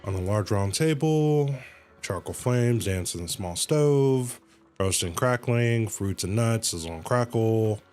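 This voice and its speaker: Slow, low-voiced man livestreaming a game. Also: lively intonation, a little mellow. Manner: slangy, stumbles and corrects while reading. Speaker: livestreamer reading game dialogue aloud. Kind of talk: casual gaming livestream read-aloud with chatty reactions. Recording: game music behind the voice. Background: video game music.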